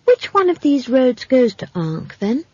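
Audiobook child role acted by a narrator: grave, persistent young girl, high and slightly husky. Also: sing-song, measured pace, a little mellow, no room sound, muffled recording, full character voice. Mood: earnest, grave. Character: earnest, stubborn nine-year-old runaway girl.

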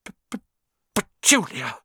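A man's voice: medium pitch, wildly swooping pitch, unhurried, a bit bright, slight background noise, pitched above the performer's own voice, heavily acted. A man voicing a bullying, short-tempered middle-aged uncle in an audiobook, with a blustering, sneering manner. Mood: horrified. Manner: sneering, barking, gasping and muttering. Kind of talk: acted audiobook dialogue.

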